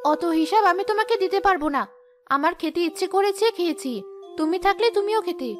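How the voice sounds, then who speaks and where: A woman's voice: very high-pitched, quick pace, over music. A woman voicing a greedy, sly, snappish female ghost in a Bengali cartoon story. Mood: irritable, defiant. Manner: snappish, irritable, scolding.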